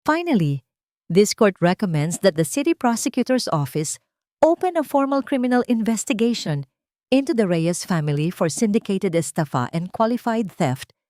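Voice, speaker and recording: A woman's voice: medium pitch, very animated, moderate pace, warm tone, no room sound, clean studio sound, close to an everyday voice. A woman voicing a stern female judge, formal and unwavering, speaking with a Filipino accent. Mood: final, commanding. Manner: formal, firm, unwavering, clear.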